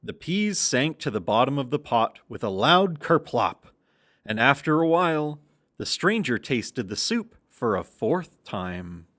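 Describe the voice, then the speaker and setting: Animated male children's story narrator. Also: mid-range voice, measured pace.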